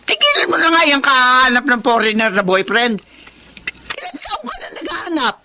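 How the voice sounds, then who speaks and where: A woman's voice: high voice, huge pitch swings, normal speed, background noise. A woman playing a scolding, exasperated mother of grown children in a Filipino radio drama, blunt and nagging, with a Filipino accent.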